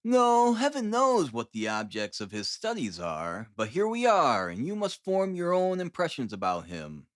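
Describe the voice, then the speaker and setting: A man voicing a chatty, gossipy young male colleague in an audiobook, with an animated, emphatic manner. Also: fairly high pitch, moderate pace, few pauses, no echo, clean studio sound, pitched above the performer's own voice. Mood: wary, gossipy. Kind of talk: audiobook character dialogue.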